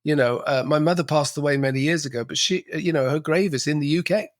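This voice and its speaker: A man's voice: on the high side, fast, dry recording, studio quality. A middle-aged man, talking reflectively as a podcast guest with frequent restarts. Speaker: podcast guest, cultural coach. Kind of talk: casual interview conversation.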